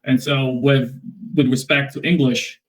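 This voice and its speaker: A man from the Philippines with a Filipino accent, giving measured interview answers full of repeats and restarts. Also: mid-range voice, little pitch movement, poor audio. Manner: measured, repetitive, leans on "basically" and restarts.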